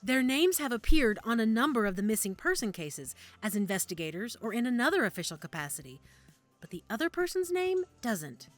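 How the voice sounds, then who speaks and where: Over faint music, a woman's fairly high voice moves with lively intonation. An actress plays a helpful, cautious medical examiner in an audio drama, conversational and slightly hesitant. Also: fairly quick, dry recording. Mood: matter-of-fact. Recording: music under the dialogue.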